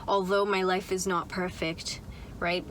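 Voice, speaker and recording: A woman's voice: fairly low pitch, little pitch movement, unhurried, fairly bright tone, slight background noise. A young woman vlogging from inside a car, chatting in a loose, upbeat way with lots of "like" and "right?". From Canada. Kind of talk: casual chat to camera.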